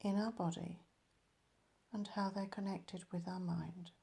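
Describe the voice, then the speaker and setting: A woman leading a guided meditation as a teacher, calm and measured, letting her phrases end softly. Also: fairly low pitch, vocal fry.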